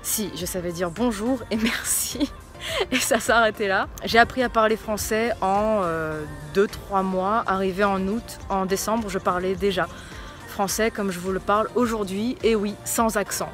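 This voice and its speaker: A woman's voice: medium pitch, normal speed, fairly bright tone, faint music behind. A young woman from Portugal with a light northern Portuguese accent, a fitness coach telling her own story in a chatty vlog, with frequent fillers.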